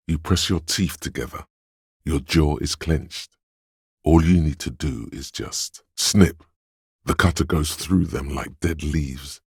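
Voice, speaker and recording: A man playing the brooding, wry inner-voice narrator of a detective game, speaking in a measured, deliberate, dramatic way with a gravelly, husky bass. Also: no echo, clean studio sound, heavily acted. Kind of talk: second-person scripted game narration.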